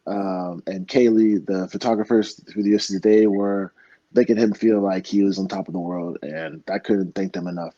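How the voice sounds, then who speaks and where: A man's voice: low voice, fairly even intonation, normal speed, dark tone, no echo, highs cut off. A young man from Florida telling earnest, heartfelt stories in a casual interview, full of fillers and restarts. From Florida, United States. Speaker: MMA fighter guest.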